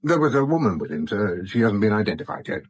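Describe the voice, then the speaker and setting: Wry, animated British police sergeant. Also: male voice, on the low side, fast, slight background noise. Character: wry, shrewd police detective sergeant, deferential yet needling. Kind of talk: 1970s British radio drama acting.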